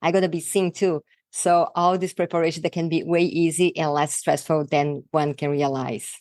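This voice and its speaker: A woman from Brazil with a thick Brazilian Portuguese accent and Portuguese as her first language, a guest in an interview, talking in a halting way and searching for words. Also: low-pitched, flat delivery, a little mellow, no echo, clean studio sound. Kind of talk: casual interview talk.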